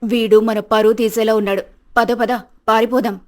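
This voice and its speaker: A woman's voice: fairly high pitch, flat delivery, moderate pace, dry recording, clean studio sound, close to an everyday voice. A woman playing a bossy, greedy mother-in-law in a village moral story, with a conspiratorial, coaxing manner. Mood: alarmed. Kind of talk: Telugu moral-story character acting.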